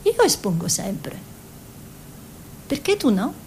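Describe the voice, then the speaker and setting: A sharp-tongued artist married to a painter. She is contrary and blunt, in a theatrical style. The voice sits fairly high, with faint noise and low rumble behind it. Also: big pitch swings, takes it slowly. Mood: bickering. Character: sharp-tongued Italian woman artist, painter's exasperated wife. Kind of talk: theatrical two-hander, dialogue and narration.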